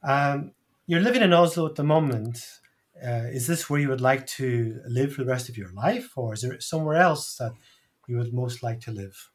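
Male interview co-host, average pitch. Casual, talkative, jovial conversational delivery. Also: moderate pace. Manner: chatty, jovial. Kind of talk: casual chat.

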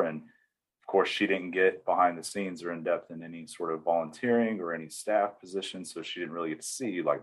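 A man, speaking plainly as an interview guest, with frequent pauses and fillers. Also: low-pitched, slightly rough. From East Tennessee, US. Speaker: interview guest, church security veteran. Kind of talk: casual storytelling interview answers.

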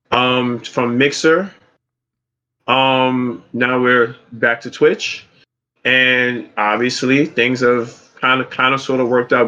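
Bright-voiced New York man, slangy and hyped, dull lo-fi recording. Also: mid-range voice. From Brooklyn, New York. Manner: hyped, slangy, many fillers. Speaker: podcast co-host. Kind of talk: casual podcast chat. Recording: dull lo-fi mic.

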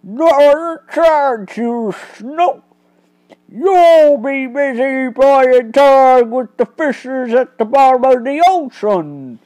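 Brief: gloating male forger, storybook villain, read by a woman, raised pitch. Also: animated, extremely slow, warm tone, full character voice, rough recording. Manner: gloating, sneering, pirate-like slang.

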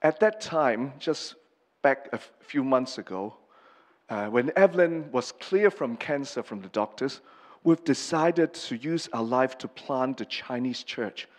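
A middle-aged pastor, a man from Hong Kong, giving a personal testimony sermon in an earnest, halting way with many pauses and restarts. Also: medium pitch, animated. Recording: long reverb of a large hall.

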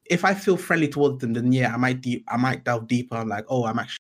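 A man's voice: mid-range voice, fast. A man hosting a podcast in a conversational interview style. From Britain. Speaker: podcast host.

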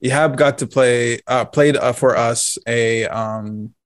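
A man co-hosting a casual podcast chat in an animated, jokey way, acting out the exchanges he recounts. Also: mid-range voice, slow, no room sound. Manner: jokey, acts out quoted exchanges. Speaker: podcast co-host.

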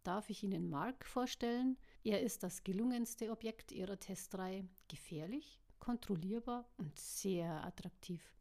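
A woman playing a smooth cynical villain. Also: mid-range voice, a little slow, hardly any pauses, warm tone, lightly acted. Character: smooth cynical male ringleader.

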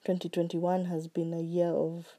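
A woman hosting her own podcast, talking slowly and earnestly about what she is grateful for, her voice still recovering from being sick. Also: fairly low pitch, monotone. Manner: slow, heartfelt and earnest, with soft trailing phrases. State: recovering voice after illness. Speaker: podcast host. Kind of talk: reflective solo podcast monologue.

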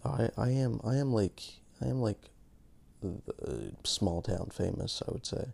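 Gravelly low-voiced man rambling. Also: measured pace, a little mellow. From Canada. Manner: rambling, self-mocking, many restarts. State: just woke up. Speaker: podcast host. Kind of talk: casual rambling monologue.

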